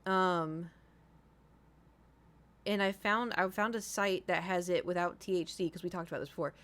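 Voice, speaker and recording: A woman's voice: fairly low pitch, steady tone, normal speed, fairly bright tone. A woman in her thirties chatting casually to livestream viewers, rambling informally, swearing freely and repeating herself for emphasis. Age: 30s. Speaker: livestream host.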